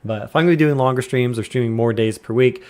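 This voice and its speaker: A man in his thirties chatting casually on a live stream from a quiet room at home, keeping his voice low on purpose and greeting viewers by name. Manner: deliberately quiet, subdued voice. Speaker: game streamer. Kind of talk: casual live-stream chat while gaming.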